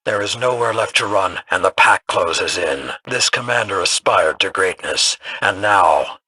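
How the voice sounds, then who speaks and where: A man playing a grim, vengeful military strategist in a video game, speaking in a measured, formal, severe way with a gravelly voice. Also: deep voice, expressive, sharp tone, slight background noise, heavily acted. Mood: grave, stern.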